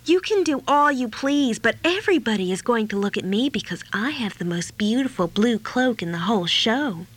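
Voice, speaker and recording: A woman voicing a boastful, imperious young girl, loud and sneering, in a told story. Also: fairly high pitch, animated, moderate pace, hardly any pauses. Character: bossy, boastful young girl playing Joseph in pageant.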